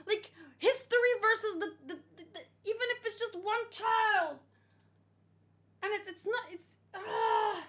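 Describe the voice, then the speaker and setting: A woman giving excited, rambling reaction commentary, emotional and full of gushing exclamations. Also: very high voice, a little slow. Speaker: host of a manga read-along reaction.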